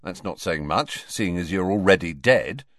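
A man's voice: deep voice, expressive, normal speed, a bit bright, no room sound, clean studio sound. A man voicing a teenage schoolboy in an audiobook, talking in a casual, blunt way. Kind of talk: audiobook character voice.

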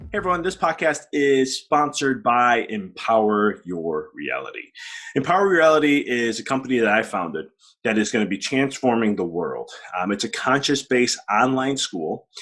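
A man's voice: medium pitch, fairly bright tone. A man speaking as a podcast host, introducing the show and chatting with a guest in a conversational way. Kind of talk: podcast hosting, conversational interview.

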